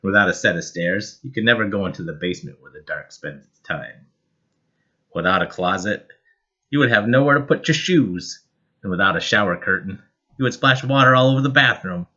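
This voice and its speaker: A man reading a children's picture book aloud in an expressive, measured and dramatic storytelling style. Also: low-pitched, highs cut off. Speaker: storybook reader.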